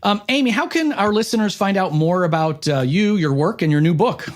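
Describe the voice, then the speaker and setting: A man with a somewhat higher-than-average voice hosts a podcast. His delivery is conversational and informal, chatting directly with guest and audience. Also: expressive, brisk. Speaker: podcast host. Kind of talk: conversational interview and podcast hosting.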